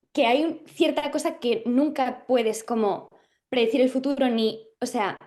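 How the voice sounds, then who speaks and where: A young woman from Galicia, an actress, talking through an interview thoughtfully, with frequent pauses and fillers as she searches for words. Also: fairly high pitch, darkish tone. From Galicia, Spain. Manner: thoughtful, hesitant, many fillers and restarts. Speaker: actress guest. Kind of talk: casual interview chat.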